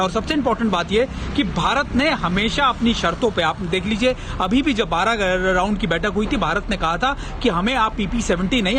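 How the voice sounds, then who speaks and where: High-voiced Indian male reporter, noisy street. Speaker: TV news reporter. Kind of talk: live analytical news report. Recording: outdoor traffic noise behind voice.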